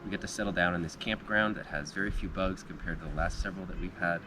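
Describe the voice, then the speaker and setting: Slightly hoarse low-voiced man outdoors. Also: quick pace. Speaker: backpacking vlogger. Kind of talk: reflective end-of-day recap. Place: outdoor backcountry campground by a river. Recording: outdoor recording with clear background noise.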